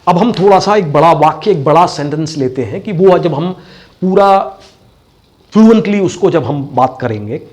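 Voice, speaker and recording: Male accent coach, Indian accent, voice a little higher than average, instructive classroom style with deliberate repetition. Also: expressive, darkish tone. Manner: didactic, repeats key phrases. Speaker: voice and accent teacher. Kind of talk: bilingual classroom lecture.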